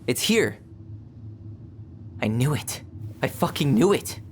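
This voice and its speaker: An actor playing a blunt, wounded young American man in a video game, with a low-key sardonic way of talking. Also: sing-song, takes it slowly, noisy background. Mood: agitated. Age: young adult. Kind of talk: naturalistic dramatic game acting.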